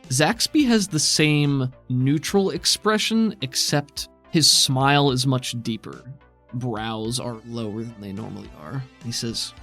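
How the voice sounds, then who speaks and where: A man's voice: medium pitch, a bit bright. Man narrating a tabletop game. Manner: descriptive, laughs easily. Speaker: game master.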